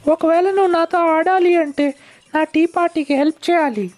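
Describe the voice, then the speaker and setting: A woman's voice: extremely high pitch, moderate pace, a little mellow, soft background music. A woman voicing a bossy, playful young girl pig in a children's cartoon, with a childlike, pleading way of talking.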